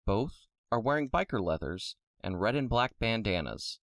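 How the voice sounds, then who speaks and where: A man narrates an audio drama from a script. His voice is on the low side, recorded close and dry. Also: big pitch swings, normal speed, gravelly, a bit bright, dry recording.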